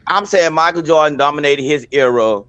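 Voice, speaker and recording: A man's voice: on the high side, a little fast, a bit bright, highs cut off. A man debating heatedly on a video call from his phone, insisting on his point and talking over others. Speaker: call-in panelist.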